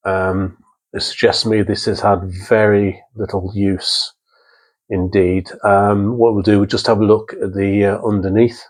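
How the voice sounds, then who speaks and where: A man's voice: fairly low pitch, little pitch movement, unhurried, a little mellow, rough recording. A man giving unscripted hands-on workshop commentary in a matter-of-fact, methodical way, with hesitant phrasing. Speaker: presenter of a vintage radio restoration channel. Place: indoor workbench in a small workshop.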